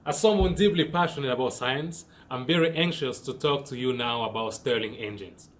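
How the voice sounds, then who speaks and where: A man's voice: medium pitch, a little fast. Earnest young Cameroonian man presenting. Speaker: student presenter. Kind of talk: scripted science presentation.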